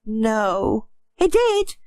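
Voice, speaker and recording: A woman as an eager little boy, very high-pitched. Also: big pitch swings, drawn-out words, darkish tone, dry recording, full character voice. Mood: earnest, defensive. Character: eager, earnest young boy, postman's son. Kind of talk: audiobook character acting.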